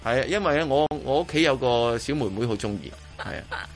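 A man's voice: mid-range voice, animated, fast talker, soft background music. A middle-aged man with a Hong Kong accent chatting casually as a radio host, rambling and repeating his phrases as he goes. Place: radio studio.

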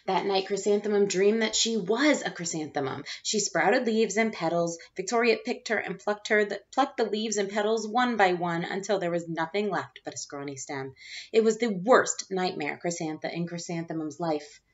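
A young woman reading a picture book aloud to children in an expressive teacher's manner. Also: medium pitch, moderate pace. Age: young adult. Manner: expressive, putting on character lines. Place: small bedroom at home, indoors. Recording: dull lo-fi webcam sound.

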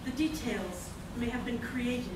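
A woman's voice: fairly high pitch, unhurried, lightly acted. A woman playing a haughty, imperious, cutting female character in a live radio play reading. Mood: sinister, calm. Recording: echoey meeting room, distant mic, noisy.

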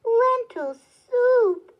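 A woman's voice: high-pitched, expressive, measured pace, muffled recording. A woman voicing a grumbling, emphatic male woodcutter in a children's storybook. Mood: complaining. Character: plain-living, grumbling male woodcutter and husband.

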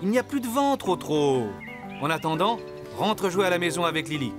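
An encouraging dad donkey in a little kids cartoon, very animated, music behind him. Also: male voice, high voice, normal speed. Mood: matter-of-fact. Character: encouraging, patient father donkey. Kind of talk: preschool cartoon acting. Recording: music under the voice.

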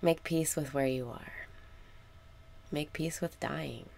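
A woman leading a guided meditation in a calm, soothing manner, with soft, sometimes breathy phrasing and deliberate pauses. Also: low-pitched. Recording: background noise with ambient sound.

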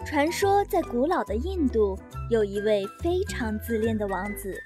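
A woman narrating bedtime fairy tales for young children in a scripted, expressive way. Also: on the high side, normal speed, music in the background. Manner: lively, expressive. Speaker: storyteller.

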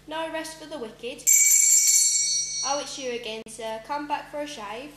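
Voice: high-pitched, unhurried. A school pupil playing a sly, murderous male barber in an amateur radio play. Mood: feigned innocence. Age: child, about 12 to 13. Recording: roomy, loud hum and noise.

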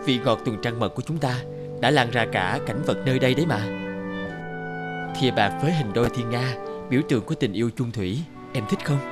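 A man playing a gentle, hesitant married businessman with a guilty streak in an animated drama. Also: medium pitch, brisk, close to an everyday voice. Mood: tender. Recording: music under the voice.

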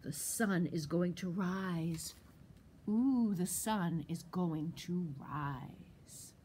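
A woman doing a tiny high-voiced squirrel. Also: animated, normal speed, darkish tone, a little hiss or hum, close to an everyday voice. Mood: hopeful. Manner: high, questioning and small. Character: tiny curious male brown squirrel.

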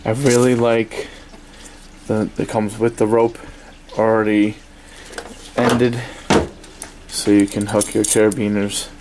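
A man with a fairly low voice talks very slowly through a casual product review. His delivery is halting and offhand, and there is clear noise from the room around him. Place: small indoor workspace at a desk. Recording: steady noisy room ambience.

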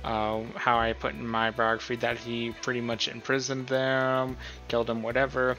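A man's voice: mid-range voice, monotone, moderate pace, pushed and bright, soft background music, low-quality recording. A man talking informally and in a rambling way, as the host of a casual tutorial. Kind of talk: casual screen-recorded tutorial talk. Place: voice-over on a screen recording.

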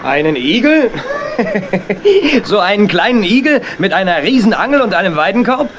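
An actor playing an excitable, jolly male railway porter in a children's audio drama, slightly noisy recording. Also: high-pitched, normal speed. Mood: amused, eager.

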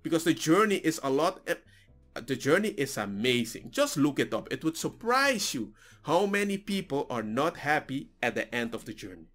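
A man's voice: fairly high pitch, big pitch swings, moderate pace, fairly bright tone, faint music behind. Reflective man with a Papiamento background. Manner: musing, with restarts and slips. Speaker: reaction video host. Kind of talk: casual reflective monologue. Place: small home room with bookshelves. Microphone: headset.

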